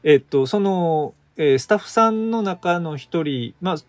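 A man's voice: fairly high pitch, animated, a little slow, no echo, faint music behind. A man chatting casually as a guest, hesitant and full of fillers and restarts. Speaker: guest troupe member. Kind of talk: casual chat.

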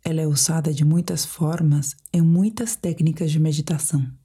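Brazilian lady doing sleep meditation, low soft voice, super breathy and whispery, calm n measured. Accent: Brazilian Portuguese. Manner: slow, calm, soothing, measured. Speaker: meditation guide.

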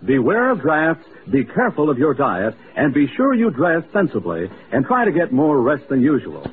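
A man's voice: a little high, wildly swooping pitch, moderate pace. A man reading a vintage radio commercial as an announcer, in an emphatic, instructive way. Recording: tinny band-limited old radio recording, faint noise.

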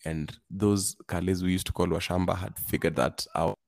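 A man speaking as a podcast guest, giving earnest advice in a halting way, with frequent restarts. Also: low voice, fairly even intonation, gravelly, a little mellow, no echo. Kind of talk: casual advice talk.

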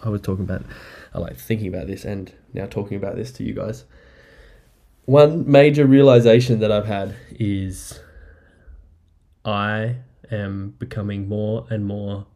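A man hosting a casual solo podcast, rambling and upbeat, a bit nasal and croaky from the flu, recorded on a laptop mic in an apartment. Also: on the low side, fairly even intonation, unhurried, warm tone. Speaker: podcast host. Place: apartment indoors. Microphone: laptop microphone.

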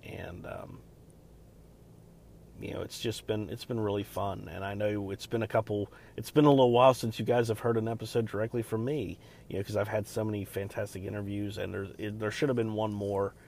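A man hosting a podcast alone, talking in a loud, deep voice and rambling casually with many fillers and restarts. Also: flat delivery, fairly quick, slight background noise. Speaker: podcast host. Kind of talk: casual solo podcast chat.

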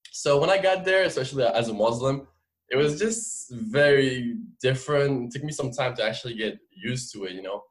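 A man's voice: medium pitch, lively intonation, moderate pace, poor audio. A man in his twenties from France with a French accent, telling his story casually and informally as an interview guest, peppering it with filler phrases. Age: 20s. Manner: informal, explanatory, frequent "like" and "you know". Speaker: podcast guest.

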